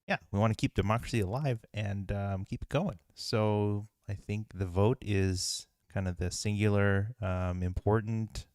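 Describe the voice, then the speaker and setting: Low-voiced man rambling on a podcast. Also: steady tone, a little slow, hardly any pauses, darkish tone, dry recording, clean studio sound. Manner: rambling, many fillers and restarts. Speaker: podcast co-host. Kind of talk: casual chat.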